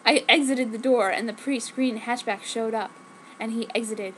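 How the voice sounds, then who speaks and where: A high-pitched woman reads amateur fiction aloud in a mock-serious, theatrical way. Her delivery is exaggerated and dramatic. There is some noise behind her on the recording. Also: normal speed, rough recording. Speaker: amateur fanfiction reader. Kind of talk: exaggerated dramatic story reading. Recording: noticeable background noise.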